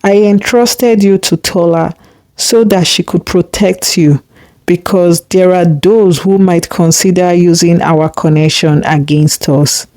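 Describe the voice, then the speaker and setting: A woman voicing a gentle but commanding river spirit mother in a storybook tale, solemn and measured. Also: on the low side, fairly even intonation, no room sound, close to an everyday voice. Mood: tender, solemn. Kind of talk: storybook character reading.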